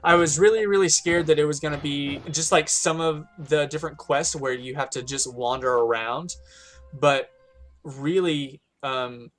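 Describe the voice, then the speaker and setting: A man with a hoarse, strained voice hosting casual gameplay commentary, rambling with lots of restarts. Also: fairly high pitch, moderate pace, a bit bright, soft background music. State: hoarse, a little winded. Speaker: gaming channel host.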